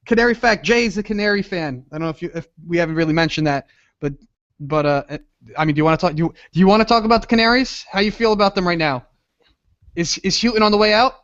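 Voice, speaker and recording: Casual male host, voice on the high side, muffled podcast audio. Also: fast, a bit bright. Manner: loose, rambling, trailing off.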